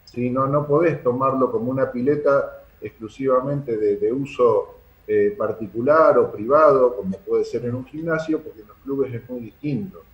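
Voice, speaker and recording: A man with an Argentine accent giving earnest, halting explanations as an interview guest. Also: mid-range voice, reverberant, rough recording. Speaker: sports club secretary, interview guest.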